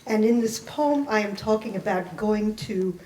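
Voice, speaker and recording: A woman poet presenting and reading their work aloud to a live library audience, with careful, deliberate delivery. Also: mid-range voice, mellow, slight background noise. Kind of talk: dramatic poetry reading with spoken introduction. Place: indoor library reading event. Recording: roomy, echoing live event recording.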